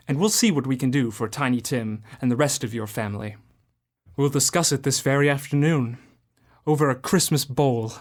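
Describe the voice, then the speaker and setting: A man playing an old miser turned joyful and generous in an amateur radio drama, booming and theatrical. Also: natural voice.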